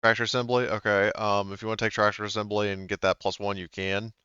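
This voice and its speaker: A man's voice: on the low side, flat delivery, fast, pushed and bright, smooth, no room sound, muffled recording. A man running a tabletop game, chatting casually with players, hesitant and full of fillers, swearing now and then. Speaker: game master.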